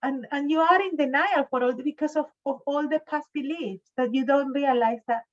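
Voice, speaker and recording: A woman in her fifties hosting a conversational interview, halting and often repeating her words. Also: high voice, lo-fi sound. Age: 50s. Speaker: host, interviewer.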